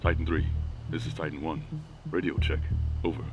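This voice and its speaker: Very deep gravelly squad leader. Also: male voice, level tone, fairly quick. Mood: terse, alert. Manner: clipped, commanding. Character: terse male strike team leader on radio. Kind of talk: tense tactical radio dialogue. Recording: dull lo-fi radio sound, loud music behind.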